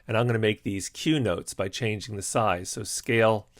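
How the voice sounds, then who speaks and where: A male music teacher walking through a software tutorial in calm, step-by-step instructions, with frequent "uh" and "um" fillers. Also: fairly low pitch, moderate pace, no echo. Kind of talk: screen-recorded software tutorial.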